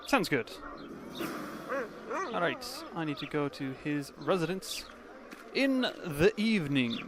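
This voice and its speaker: A man's voice: on the high side, sing-song, slow, noisy background. A man giving casual, wry commentary as he plays a game. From Canada. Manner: offhand, wry. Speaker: gamer. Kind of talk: casual Let's Play commentary.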